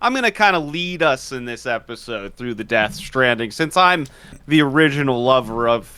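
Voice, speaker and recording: A man co-hosting a casual gaming podcast, excitable and animated and quick to laugh, speaking into a studio mic. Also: medium pitch, clean tone, no room sound. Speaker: podcast co-host.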